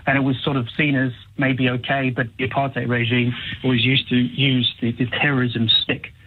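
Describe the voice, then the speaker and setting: A man's voice: medium pitch, little pitch movement, moderate pace, slight background noise. A man with a South African accent, calling in to a radio show, speaking in a thoughtful, hesitant way as he searches for words. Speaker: caller. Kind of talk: phone-in conversation. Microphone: telephone. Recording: tinny phone line.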